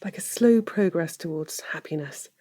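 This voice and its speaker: An actress playing an earnest, lovelorn woman in an audio drama, with a breathy voice and intimate delivery. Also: fairly low pitch, moderate pace, mellow, natural voice. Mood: earnest, resolute. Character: earnest, lovelorn woman pleading for her love. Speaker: actor.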